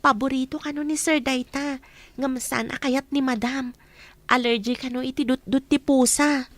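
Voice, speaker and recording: A woman's voice: high-pitched, steady tone, moderate pace, dry recording, lightly acted. A woman playing a humble, earnest young housemaid in a radio drama, speaking respectfully and plainly. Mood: puzzled, plaintive. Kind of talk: Ilocano radio drama acting.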